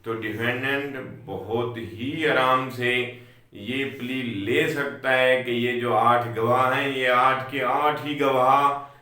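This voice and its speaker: Slow-spoken Pakistani man in an echoey room. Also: mid-range voice, steady tone, bright, forceful tone. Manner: deliberate, repeats key points, mixes in English legal terms. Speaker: law lecturer. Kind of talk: explanatory legal lecture with worked examples.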